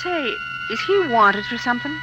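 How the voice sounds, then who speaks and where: A woman's voice: a little high, expressive, normal speed, lightly acted. An actress playing a folksy, trusting landlady who talks protectively in an old radio drama. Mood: chatty, earnest. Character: chatty, trusting landlady with a rented front room. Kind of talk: vintage radio drama acting. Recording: old radio recording with music behind.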